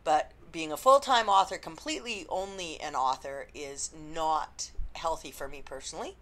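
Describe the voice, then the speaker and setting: A woman, an indie romance author, chatting unscripted to camera with a slightly husky voice and lots of ums. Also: low voice, moderate pace, fairly bright tone. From Canada. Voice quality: slightly husky after illness. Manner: chatty, rambling, frequent ums. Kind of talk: unscripted vlog chat.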